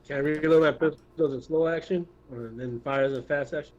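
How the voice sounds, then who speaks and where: A man's voice: a little high, fairly even intonation, a little fast, a little mellow. Muffled, tentative male tabletop player. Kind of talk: casual game-table chat. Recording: muffled, dull mic.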